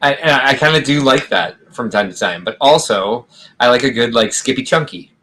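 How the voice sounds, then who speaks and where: A man's voice: medium pitch, expressive, moderate pace, bright, forceful tone. A man co-hosting a casual livestream chat, rambling and reading viewer comments aloud with frequent laughs. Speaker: podcast co-host.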